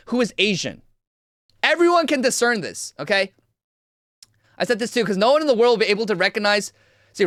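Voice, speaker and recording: A man's voice: extremely high pitch, sharp tone, no room sound, studio quality. A young man of Korean origin giving a heated, repetitive livestream commentary rant as host. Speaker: streamer host.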